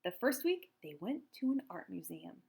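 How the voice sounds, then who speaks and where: A woman reading a children's story aloud with expressive, emphatic narration. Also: medium pitch, normal speed, vocal fry. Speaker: storybook reader.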